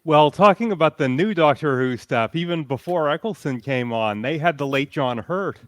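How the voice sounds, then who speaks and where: A man's voice: on the high side, expressive, normal speed, fairly bright tone, no room sound, clean studio sound. A man from Canada speaking as a panelist in an informed, conversational fan discussion.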